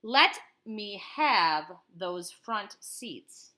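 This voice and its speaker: A woman voicing a gruff, bullying male bus driver from a children's storybook, barking bossy orders. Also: mid-range voice, animated, very slow, sharp tone, exaggerated voice. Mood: stern, demanding.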